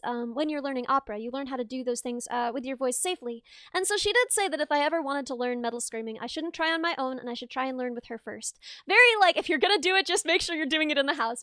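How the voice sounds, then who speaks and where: A young woman streaming live, chatting casually with viewers in a rambling, laughing way, her voice a little raw and rough. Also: high-pitched, pushed and bright, dry recording, studio quality. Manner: rambling, chatty, laughs often, reads chat aloud.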